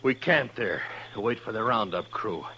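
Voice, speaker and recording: A man's voice: lowish voice, expressive, normal speed, gravelly, sharp tone, full character voice. A man playing an earnest, urgent young bartender and cattle hand in a 1950s radio western, blunt in his speech. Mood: grim, urgent. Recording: dull, noisy old radio recording.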